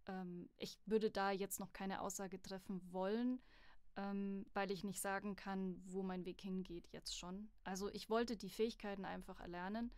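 A woman's voice: medium pitch, fairly even intonation, normal speed, a little mellow, clear, resonant tone, dry recording, clean studio sound. A young adult woman, a voice acting student, chatting candidly and telling her story in a podcast interview. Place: studio at a music academy.

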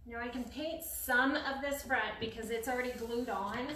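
A middle-aged woman chatting casually to a live stream while working, unhurried and trailing off as she demonstrates a craft. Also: a little high, pushed and bright. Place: indoor craft paint shop. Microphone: phone or camera a few feet away. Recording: roomy echo, clear noise, clicks.

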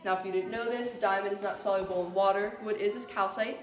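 A woman's voice: medium pitch, steady tone. Young woman tour guide in an echoey cave. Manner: informative, measured, explains to a group. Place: large cave, indoors. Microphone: far camera held by a visitor. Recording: echoey cave recorded from far off.

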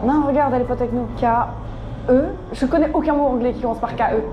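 A woman's voice: sits high, steady tone, lo-fi sound, noisy background. Giggly young woman streamer. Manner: giggly, chatty, halting English. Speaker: streamer host. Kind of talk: playful reactions while exploring. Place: indoor haunted house attraction. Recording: echoey rooms with music.

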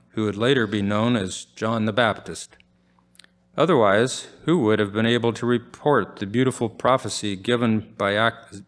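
An older man, around his seventies, preaching in a measured, formal way as he reads from a script at a church pulpit microphone. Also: lowish voice, a bit bright. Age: older, about 70s. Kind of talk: sermon read from a script, with scripture readings. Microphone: pulpit microphone on a gooseneck stand.

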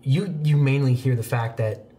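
A young man narrating a horror tabletop roleplaying game as game master, with deliberate, suspenseful descriptions and dramatic pauses. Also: mid-range voice, mellow, a little hiss or hum. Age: young adult. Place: indoor studio game set at a desk. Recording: music playing behind the voice. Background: background music.

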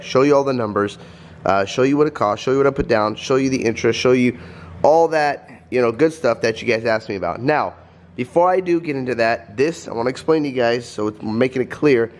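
A man in his thirties with a cold, chatting casually to viewers while filming himself in his home garage. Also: mid-range voice. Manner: rambling, chatty, addresses viewers as guys. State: stuffed up from a cold. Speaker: vlogger. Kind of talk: casual vlog talk. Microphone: handheld vlog camera.

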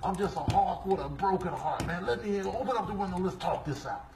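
A heartbroken male hawk, played by a man begs humbly and repetitively in a comic animal voiceover. The voice sits somewhat high and sounds roomy and distant, with clear noise around it. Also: fairly quick. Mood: heartbroken pleading.

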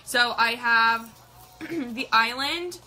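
Bubbly bright young woman live-selling. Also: fairly high pitch, drawn-out words, soft background music, poor audio. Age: young adult. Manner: bubbly, greets viewers by name, reads comments aloud. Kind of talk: chatty live-stream selling. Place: small indoor room with a colorful backdrop.

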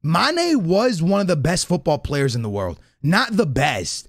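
Animated man streamer, very dry close sound. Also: fairly high pitch, unhurried, dry recording. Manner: slangy, banter-heavy, emphatic repetition. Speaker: streamer hosting a football reaction stream. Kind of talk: casual reaction commentary. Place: small indoor streaming room. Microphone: close studio microphone on a boom arm.

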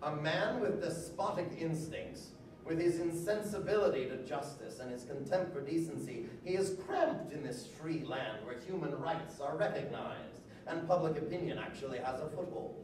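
A man's voice: a little high, moderate pace, background noise, natural voice. A middle-aged man playing an indignant, grandiloquent 19th-century orator in a declamatory, pompous dramatic reading. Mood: scornful, mocking. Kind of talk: dramatic reading of historical speeches. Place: large bare-walled room, on stage indoors. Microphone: stand microphone, recorded by far camera. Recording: echoey room heard from far off.

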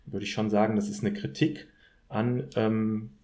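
Low, mellow academic man. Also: flat delivery. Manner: deliberate, academic, measured phrasing. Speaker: guest expert, political scientist. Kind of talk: analytical discussion.

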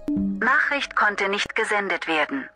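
A woman's voice: mid-range voice, big pitch swings, unhurried, over music. A woman playing an impersonal computer assistant, reading out chat messages in a stilted, formulaic way. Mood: neutral, robotic. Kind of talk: flat message read-out.

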